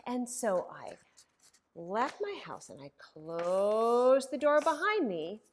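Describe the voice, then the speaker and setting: Woman, children's librarian, very slow pace, swooping expressive intonation, exaggerated dramatic delivery, singsong rhyme and story style. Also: fairly high pitch, a little hiss or hum. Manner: simple, encouraging talk aimed at young children.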